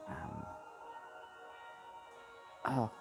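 A man's voice: drawn-out words, over music. A man with a broad Australian accent chatting casually in a vlog, rambling and getting sidetracked, with many ums and restarts. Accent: Australian, broadened by life in Queensland. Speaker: vlogger. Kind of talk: rambling personal chat. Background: a pop song playing.

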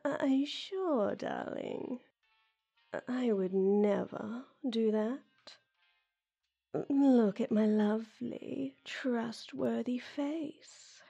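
A woman performing a scripted audio roleplay in a whispery, breathy, teasing way. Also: a little high, drawn-out words, mellow, faint music behind.

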